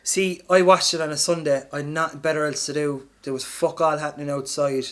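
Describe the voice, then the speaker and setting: A man's voice: on the high side, flat delivery, brisk, a bit bright. An Irish man chatting casually as a podcast co-host, blunt and sweary, telling anecdotes. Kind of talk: casual chat, film review.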